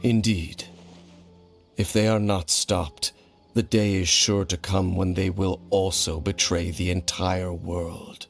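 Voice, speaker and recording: Game role: a solemn, age-old wanderer, low-voiced, formal and grave, music underneath. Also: male voice, flat delivery, slow, creaky, exaggerated voice. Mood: somber, resentful. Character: brooding ancient male wanderer, solemn and vengeful. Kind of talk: scripted video game voice acting.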